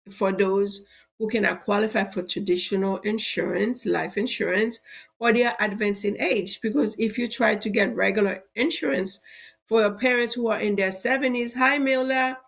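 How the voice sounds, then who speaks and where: A middle-aged woman who sells insurance, hosting a live show and sounding stuffy and a bit cracky from allergies, making a persuasive pitch that leans on "right?" as a tag. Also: gravelly. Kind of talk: live-stream sales talk.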